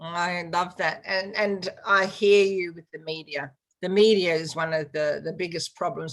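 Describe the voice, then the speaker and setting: A woman's voice: low-pitched, a bit bright. A woman hosting an interview, an educator speaking in an encouraging, reflective way. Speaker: host. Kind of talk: interview host.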